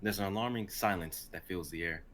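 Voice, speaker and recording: A man's voice: low voice, fairly quick, a bit bright, slight background noise. A young man reading stage directions as narration in a casual live table reading. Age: young adult.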